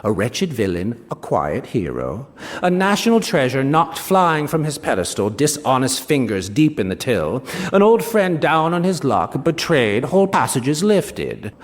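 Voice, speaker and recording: An actor playing a smug, ageing novelist, reading a short story on stage with wry, self-satisfied, theatrical emphasis. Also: male voice, medium pitch, very animated, measured pace. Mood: wry relish. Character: smug ageing novelist recounting his betrayal of a friend. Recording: reverberant hall, live stage reading.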